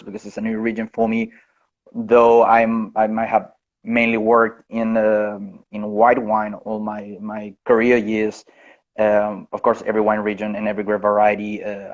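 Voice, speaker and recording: Low, flat-voiced Chilean-born man. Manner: unhurried, with frequent restarts and self-corrections. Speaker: head winemaker, guest. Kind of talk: interview over video call. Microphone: video call. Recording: dull lo-fi video call audio.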